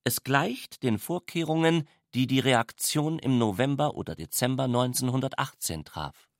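A man reading scripted literary narration in a measured, formal, factual manner. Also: medium pitch, animated.

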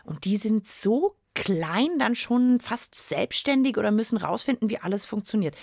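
A woman's voice: medium pitch, animated, normal speed, vocal fry, darkish tone, no echo, clean studio sound. A woman co-hosting a children's podcast with casual, playful banter. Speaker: podcast co-host.

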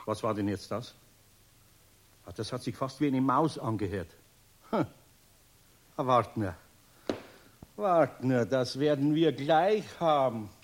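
A man's voice: mid-range voice, animated, takes it slowly, long pauses. A man playing a middle-aged, good-natured, grumbling master carpenter with a Bavarian accent in a children's radio play. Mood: grumbling, puzzled. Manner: muttering to himself, grumbling.

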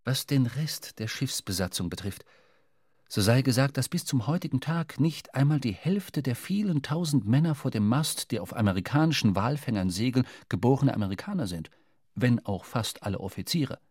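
An actor playing a reflective sailor who narrates a whaling voyage in a radio play, in measured, dramatic literary storytelling. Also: male voice, medium pitch, lively intonation, darkish tone, husky, dry recording, studio quality. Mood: reflective, grand.

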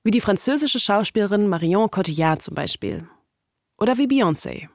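Female co-host, mid-pitched voice, scripted documentary storytelling, dry close sound. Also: expressive, normal speed, no room sound. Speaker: podcast co-host and narrator.

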